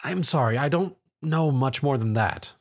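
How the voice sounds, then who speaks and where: A man's voice: medium pitch, lively intonation, moderate pace. A man voicing an earnest, slightly awkward young male hero in a story. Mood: unsure.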